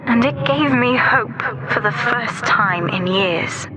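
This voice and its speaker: Earnest young daughter, loud noise behind. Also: female voice, on the high side, slow pace. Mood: resolute, hopeful. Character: devoted, resolute young daughter, sheltered and gentle. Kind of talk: dramatic video game acting.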